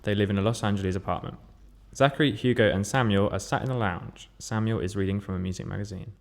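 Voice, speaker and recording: Male stage-direction reader, lowish pitch, rapid scripted narration. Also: gravelly. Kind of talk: scripted narration, table read.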